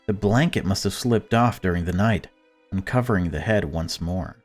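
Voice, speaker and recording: Low-voiced man narrating a visual novel. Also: normal speed, faint music behind. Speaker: let's player.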